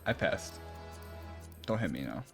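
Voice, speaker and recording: A man's voice: rumbling low, sing-song, measured pace, creaky. A man in his thirties streaming a game with casual, slangy, joking reactions and some dialogue read aloud. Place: small room at home. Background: game music.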